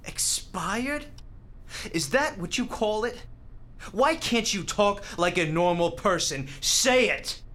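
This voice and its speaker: A gentle young New guy in a radio play. He speaks very slowly and haltingly, in plain street words, guarded but soft at heart. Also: sits high, animated, exaggerated voice. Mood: distraught, defensive. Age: young adult. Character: gentle, guarded young male hospital volunteer from the Bronx. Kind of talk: radio drama acting.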